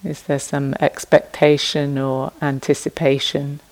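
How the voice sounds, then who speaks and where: A woman meditation teacher giving guided instructions in a gentle, unhurried manner, leaving long pauses between phrases. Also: low voice, little pitch movement, poor audio.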